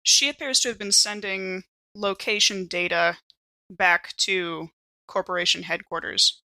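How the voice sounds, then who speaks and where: A woman with a bright, forward voice, recorded close and dry. She talks as a player in a tabletop role-playing game, composed and articulate as she slips between table talk and her character. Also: medium pitch, steady tone, measured pace, creaky, no echo, rough recording. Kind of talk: conversational in-game role-play.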